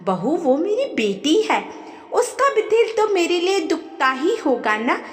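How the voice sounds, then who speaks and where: A woman's voice: very high-pitched, big pitch swings, measured pace, voice pushed up, full character voice. A woman voicing a frail, plaintive elderly mother-in-law in a family audio story. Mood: pleading. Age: older. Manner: weary, plaintive, gentle. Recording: echoey, long reverb.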